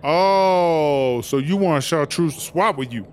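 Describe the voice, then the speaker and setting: A man's voice: fairly high pitch, takes it slowly, slight background noise. A man playing a brash, flamboyant inmate rapper in a comedy audio drama, with boastful, sassy street slang. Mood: indignant, cocky. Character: brash, flamboyant prison inmate rapper, self-named diva.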